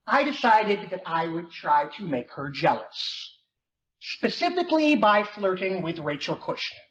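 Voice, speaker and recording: A performer reading as an awkward teenage boy who narrates his comic confession in a wry, self-deprecating way. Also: medium pitch. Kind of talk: dramatic reading of a first-person novel. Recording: muffled, noisy, echoey room recording.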